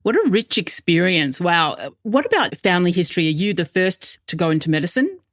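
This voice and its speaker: A woman's voice: fairly low pitch, big pitch swings, fairly quick, fairly bright tone. A woman conducting a conversational interview.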